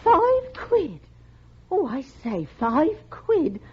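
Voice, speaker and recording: A woman's voice: high-pitched, huge pitch swings, drawn-out words, exaggerated voice. An actress playing a humble working-class British woman with a Cockney accent and drawling exclamations, in 1950s radio drama style. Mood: astonished. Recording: muffled, noisy old radio recording.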